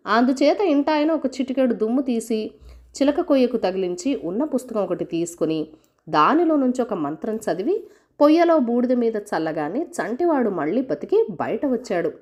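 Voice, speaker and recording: A woman narrates a folk tale for children in a fairly high voice. Her intonation moves up and down in an animated way as she tells the scripted story.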